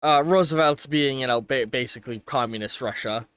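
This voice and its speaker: A man narrating a tabletop game as game master, improvising casually and haltingly as he searches for words. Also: mid-range voice, fairly bright tone, clean tone, dry recording.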